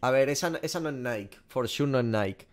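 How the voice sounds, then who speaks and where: A man's voice: mid-range voice, moderate pace, clear, resonant tone. A young man from Spain with a Spanish accent, reacting casually and swearing a lot while guessing game skins. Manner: swears often, animated, self-mocking. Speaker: gaming streamer. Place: small room indoors.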